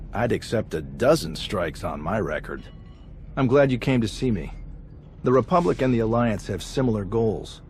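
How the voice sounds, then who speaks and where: A roguish secret agent in a sci-fi game. His low voice is smooth-talking, cocky and teasing, with faint noise behind it. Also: a little mellow. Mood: flirty, relaxed. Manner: breezy, charming, teasing. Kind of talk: video game cutscene acting.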